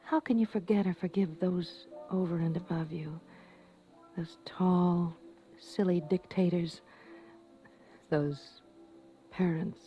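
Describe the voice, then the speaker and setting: A woman playing an anxious, gentle mother who hesitates as she hides her unease, in an old-time radio drama. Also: lowish voice, fairly even intonation, slow, pauses often, lo-fi sound, faint music behind. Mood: brooding. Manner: hesitant, searching, trailing off.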